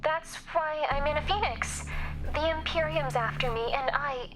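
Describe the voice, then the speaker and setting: A woman's voice: sits high, normal speed, lightly acted, low-quality recording. A woman playing a fierce, blunt, foul-mouthed female rogue in an emphatic video game performance. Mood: coaxing, feigned sorrow. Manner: sharp-tongued, emphatic, exasperated. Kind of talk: animated video game cutscene acting. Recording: loud music behind the voice. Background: music.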